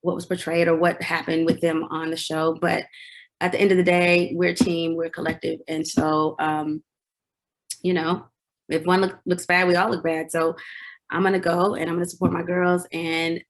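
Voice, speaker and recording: A woman's voice: lowish voice, normal speed, low-quality recording. A woman in her forties, a singer as interview guest, telling stories in casual chat, laughing often and acting out what people said. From United States. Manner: animated storyteller, laughs often, acts out quotes.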